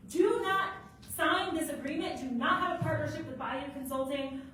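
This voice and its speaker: A woman giving a forceful, accusatory public comment in a city council chamber. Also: sits high, moderate pace. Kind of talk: impassioned public comment speech. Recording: echoey hall from far off.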